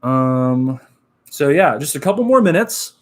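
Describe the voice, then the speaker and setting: A young man with a nasal voice, chatting casually on a live stream, upbeat and relaxed, full of fillers. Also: medium pitch, unhurried. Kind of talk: casual live-stream chat reading comments.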